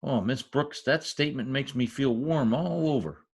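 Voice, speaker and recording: A man's voice: mid-range voice, normal speed, creaky, a little mellow, breathy edge. A man playing an eager, mischievous teenage schoolboy in an old-time radio comedy, with an earnest, gushing manner and flowery words. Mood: confiding, earnest.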